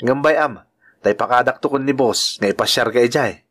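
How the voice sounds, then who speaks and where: A man's voice: mid-range voice, fairly quick, fairly bright tone, lightly acted. A man with an Ilocano accent playing a good-natured bachelor uncle and devoted son in a radio-style drama. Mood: easygoing, fond.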